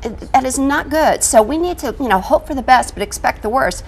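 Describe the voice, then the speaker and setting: A woman's voice: high-pitched, expressive, a little fast, gravelly. A woman with an American accent, giving emphatic opinions as a commentator on a television panel. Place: television studio.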